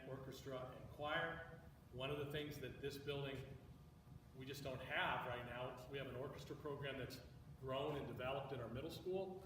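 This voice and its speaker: A man with a large, booming voice, a school administrator presenting building plans to the community in a big auditorium. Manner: loud booming voice; explanatory, walks through a floor plan. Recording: echoey hall from far off, noisy.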